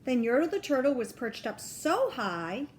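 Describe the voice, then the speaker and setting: A woman reading a children's storybook aloud as a grandmother, expressively, pausing to ask the young listener simple questions. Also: sits high, big pitch swings, slight background noise.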